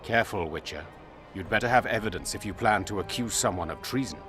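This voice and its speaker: An actor playing a stern, dignified royal constable in a fantasy game, speaking formally and with authority. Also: male voice, on the low side, quick pace, over music, natural voice. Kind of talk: video game dialogue acting.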